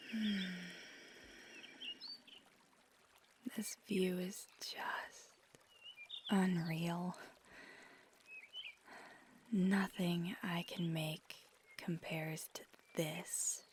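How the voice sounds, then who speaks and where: Slow-talking feisty girlfriend played by a woman, birdsong behind. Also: lowish voice, steady tone. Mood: soft, grateful. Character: feisty, affectionate young magical girlfriend. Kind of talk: ASMR girlfriend roleplay acting. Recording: clear background noise with birdsong. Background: birdsong and outdoor ambience.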